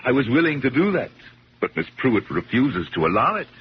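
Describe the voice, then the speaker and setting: An actor playing a rich, self-righteous old collector in a 1970s radio drama, speaking formally and emphatically, with an accusing edge. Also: male voice, medium pitch, sing-song, fairly quick, husky. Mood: accusing, indignant. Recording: muffled, hissy 1970s radio broadcast.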